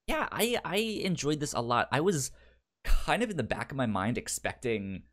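Halting, casual man with animated pitch. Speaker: podcast co-host. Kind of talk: casual conversational TV review.

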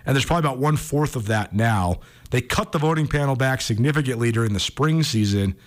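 A man's voice: medium pitch, lively intonation, fast talker. An American man with a mid-range voice talks casually as a sports radio host. He speaks conversationally, often working through his thoughts aloud.

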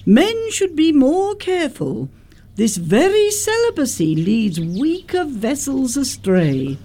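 Swooping, prim governess, pompously precise. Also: female voice, high voice, wildly swooping pitch, slow, mellow, full character voice. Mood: coyly moralizing. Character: prim, middle-aged governess, primly flirtatious and moralizing. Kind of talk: theatrical comedy-of-manners radio acting.

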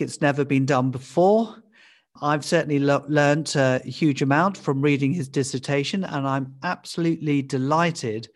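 A man hosting a podcast, reading a measured and formal introduction of his guest. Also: medium pitch. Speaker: podcast host. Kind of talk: scripted introduction.

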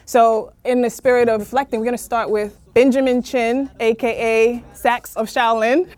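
A woman hosting a live studio interview podcast, playful and lively, fond of wordplay, speaking from a radio studio. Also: fairly high pitch, normal speed. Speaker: podcast host.

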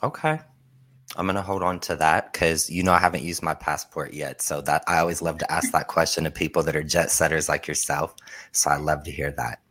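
A man in his thirties hosts a casual podcast interview. His voice sits low, and he keeps things friendly and upbeat, laughing easily as he asks his questions. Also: fairly even intonation, fairly quick, fairly bright tone. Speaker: podcast host.

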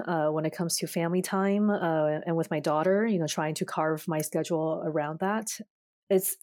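Lowish-voiced woman podcast cohost from china, thoughtful and chatty, dry close mic. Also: darkish tone, dry recording. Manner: thoughtful, many fillers and restarts. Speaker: podcast co-host. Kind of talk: casual conversational podcast.

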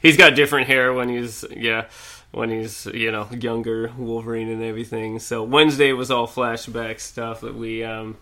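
Male podcast guest, bright tone, rambling casual chatter, slight lingering cough. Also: mid-range voice, flat delivery, normal speed. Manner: rambling, lots of fillers and restarts. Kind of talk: casual chat.

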